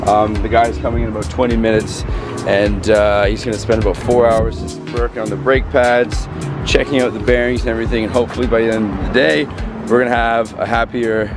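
A man's voice: on the low side, little pitch movement, brisk. Young man vlogging outdoors over music. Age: young adult. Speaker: travel vlogger. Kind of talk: casual vlog talk to camera. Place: outdoors in a parking lot. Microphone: handheld camera at arm's length. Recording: music playing behind outdoor camera talk. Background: loud music.

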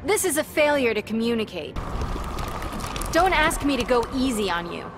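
A woman's voice: fairly high pitch, big pitch swings, normal speed. A young woman playing an earnest, defiant, sassy superheroine in a video game, confident and quippy. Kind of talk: video game character acting. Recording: music under the voice.

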